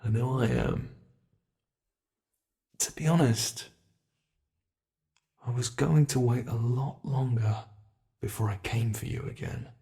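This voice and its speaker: Casting: teasing monster hunter played by a man, medium-pitched, gravelly and slow, close ASMR roleplay delivery, menacing yet playful. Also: dark tone. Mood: eager, predatory. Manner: slow, menacing, playfully sinister, savoring pauses. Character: teasing predatory male monster who hunts humans. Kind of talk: intimate ASMR roleplay monologue.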